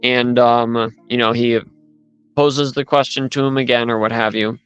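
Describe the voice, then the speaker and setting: Smooth-voiced man rambling through a story. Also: medium pitch, steady tone, moderate pace, fairly bright tone, faint music behind, rough recording. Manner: rambling, retells events at length with many asides. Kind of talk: casual chat.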